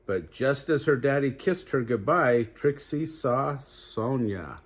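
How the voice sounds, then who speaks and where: An older man with a husky voice reads a picture book to children. He takes his time, at a slow pace, and breaks off for chatty asides and questions to the listener. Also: medium pitch, lively intonation. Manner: unhurried, pausing to question the listener. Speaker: grandfather reading children's books aloud. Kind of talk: read-aloud storytelling with chatty asides to young listeners.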